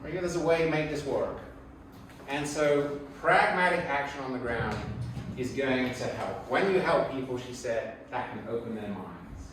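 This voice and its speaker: A man's voice: medium pitch, moderate pace. Middle-aged english guy giving a casual reflective talk, really echoey room, mic far away. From England. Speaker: speaker giving a public talk. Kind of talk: conversational slide lecture. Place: indoor talk venue before an audience. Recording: echoey room from afar, faint noise.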